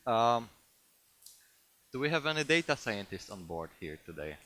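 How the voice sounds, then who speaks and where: Bright-voiced Polish man giving a tech talk. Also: mid-range voice, big pitch swings. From Poland. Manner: halting, many fillers and restarts. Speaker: conference speaker. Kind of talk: technical talk to a live audience.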